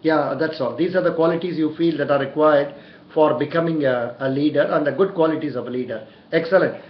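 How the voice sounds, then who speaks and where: A man's voice: on the high side, fairly quick, a little hiss or hum. A man in his sixties with an Indian accent, interviewing and coaching a candidate with frequent short words of encouragement. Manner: encouraging, repeats short approvals. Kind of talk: mock job interview over the telephone. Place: small indoor room. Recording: dull, echoey room recording.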